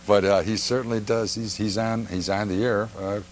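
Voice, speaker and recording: A male talk show host with a low voice speaks in a halting, meandering, informal way, circling back over his words. A faint hum sits behind him. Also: fairly even intonation. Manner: halting, meandering, repeats himself. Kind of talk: informal interview introduction.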